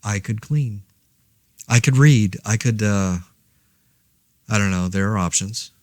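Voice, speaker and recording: Low-voiced, deadpan actor as a rambling man. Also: measured pace, dry recording, clean studio sound, close to an everyday voice. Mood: musing, deadpan. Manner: wry, deadpan, hesitant rambling. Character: laid-back, rambling man podcasting alone through a zombie outbreak. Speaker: podcast host. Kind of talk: casual monologue, fictional audio diary.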